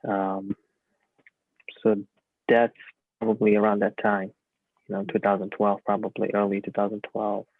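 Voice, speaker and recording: Guy in his 30s, low gravelly voice, lots of ums, tinny dry call audio. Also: completely flat delivery, moderate pace, pauses often, no room sound. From Uzbekistan. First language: Russian. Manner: hesitant, many fillers. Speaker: guest physician. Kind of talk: casual interview answers.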